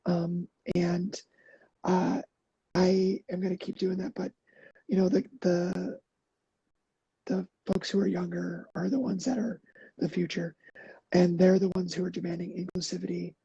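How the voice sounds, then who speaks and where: Gravelly, dark-voiced woman, earnest and halting. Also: fairly low pitch, little pitch movement, dry recording, lo-fi sound. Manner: earnest, halting, many fillers and restarts. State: a little hoarse. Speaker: podcast guest, endurance athlete. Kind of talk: casual interview talk.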